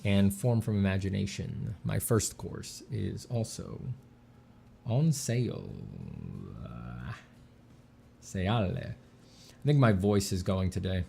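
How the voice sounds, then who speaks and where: A man streaming while he draws, chatting casually with dry deadpan jokes and a slight slur from a sore mouth. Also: low-pitched, takes it slowly, vocal fry, pauses often, a little hiss or hum. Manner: dry deadpan joking, relaxed and rambling. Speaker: artist streaming a drawing session. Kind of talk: casual livestream chat.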